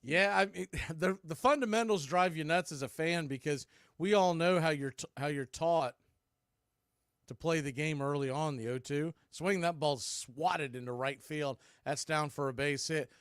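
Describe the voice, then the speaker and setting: American guy doing radio baseball play by play, kinda high voice, short punchy calls, dry close mic. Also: normal speed, a bit bright, smooth, dry recording. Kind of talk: live radio play-by-play commentary, mixed with fan chat.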